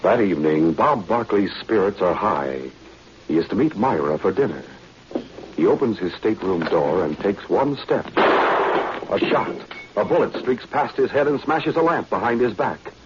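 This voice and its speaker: An American man narrating a 1940s radio adventure as a dramatic announcer. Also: low-pitched. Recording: dull, noisy old radio recording.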